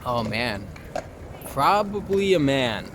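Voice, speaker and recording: A man's voice: medium pitch, big pitch swings, slow. A young man from Long Island chatting casually and informally in an outdoor interview in a city park, swearing freely and poking fun at himself. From Long Island, New York. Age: young adult. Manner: informal, self-deprecating, frequent swearing and "like". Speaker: interview guest, performer. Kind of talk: casual street interview chat. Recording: outdoor recording with clear traffic noise.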